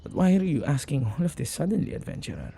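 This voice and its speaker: A man playing a witch who keeps company with ghosts, excitable and caring, in a conversational ASMR audio roleplay with a husky, gravelly voice, speaking closely to the listener. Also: on the high side, quick pace, slight background noise. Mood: troubled, grave.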